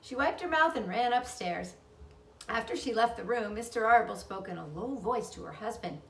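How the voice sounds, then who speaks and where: A woman narrating a children's storybook aloud with expressive delivery. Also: on the high side, normal speed. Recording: echoey room, distant mic.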